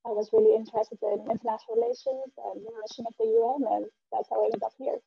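A woman's voice: fairly high pitch, fairly even intonation, fast, creaky, slightly rough, dry recording, highs cut off. A woman from Spain introducing herself in a friendly, lightly humorous way.

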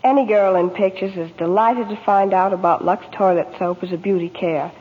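Young woman, husky vintage radio testimonial. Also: mid-range voice, fairly even intonation, brisk. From New York. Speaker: actress guest in sponsor ad. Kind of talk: scripted 1940s radio testimonial. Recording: dull old radio, long hall reverb.

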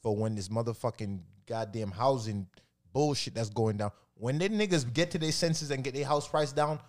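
A man's voice: medium pitch, normal speed. Blunt, profane man in his thirties. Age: 30s. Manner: blunt, profane, emphatic storytelling. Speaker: podcast co-host. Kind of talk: casual chat.

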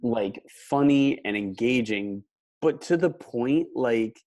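Casual halting interviewer. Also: medium pitch, lively intonation, darkish tone. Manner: casual, friendly, halting restarts. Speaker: podcast host, interviewer.

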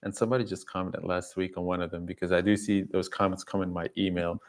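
A man's voice: deep voice, fairly even intonation, fast talker. A man speaking as a dog coach, explaining at length and emphatically in casual conversation. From Belgium. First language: not English. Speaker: dog trainer and coach, podcast guest.

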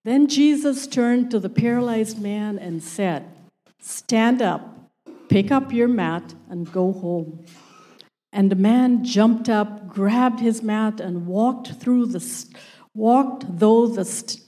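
A woman whose first language is not English, reading scripture aloud in church slowly and carefully, with occasional stumbles. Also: medium pitch, mellow. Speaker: scripture reader.

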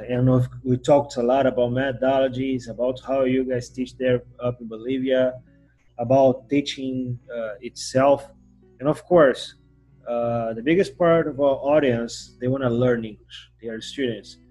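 Brazilian guy hosting a podcast, portuguese accent, a bit husky, gets really animated, faint guitar behind. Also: a little slow, low-quality recording. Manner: animated, jumps up in pitch and loudness when excited. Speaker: podcast host. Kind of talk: casual interview chat.

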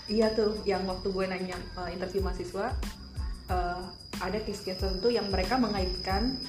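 A woman from Jakarta with a Jakarta accent, explaining thoughtfully with examples in a relaxed, slangy casual chat. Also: mid-range voice, normal speed. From Jakarta, Indonesia. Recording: echoey room with background music.

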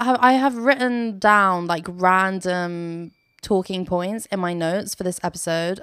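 A woman's voice: lowish voice, vocal fry, a bit bright, dry recording, clean studio sound. A young woman hosting a casual solo podcast from her sofa, chatty and self-mocking, laughing often as she talks. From Philippines. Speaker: podcast host. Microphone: phone.

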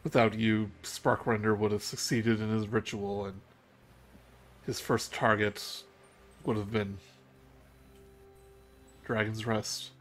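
A man voicing a powerful female dragon leader who speaks in a measured, gracious way. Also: on the low side, flat delivery. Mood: solemn.

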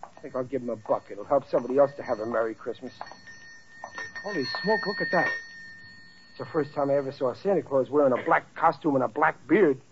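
An actor playing a blustering but good-hearted working-class family man in an old radio sitcom, plain-spoken and easily exasperated, with a rough voice. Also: medium pitch, brisk, slight background noise, natural voice. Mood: downcast. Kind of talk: 1940s radio sitcom acting. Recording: muffled old radio recording.

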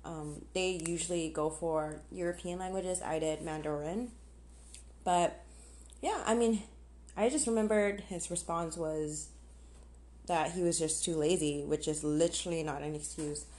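Young woman, slightly low-pitched voice, casual podcast chat, candid, informal. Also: fairly even intonation, measured pace, darkish tone. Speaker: podcast host. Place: outdoors on a patio.

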